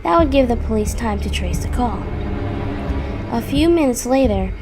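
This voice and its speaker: A woman's voice: a little high, expressive, moderate pace. A woman reading a scripted horror story as its narrator. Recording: clearly audible background noise.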